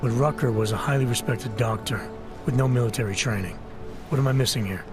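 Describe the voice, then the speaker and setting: A man's voice: mid-range voice, a little fast, music in the background, close to an everyday voice. An actor playing a terse, skeptical male special agent in a video game, dry and questioning. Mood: doubtful. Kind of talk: video game cutscene acting.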